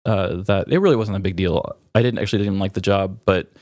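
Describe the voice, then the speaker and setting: A male podcast host in candid, reflective conversation. His voice sits fairly low and is recorded very dry. He speaks haltingly, often stopping to search for the right words. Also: darkish tone, no echo.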